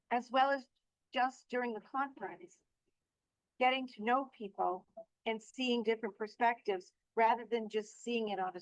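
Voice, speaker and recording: Woman, association president, earnest, emphatic, slightly high, dry dull recording. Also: no echo. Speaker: association president and keynote speaker. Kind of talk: testimonial interview.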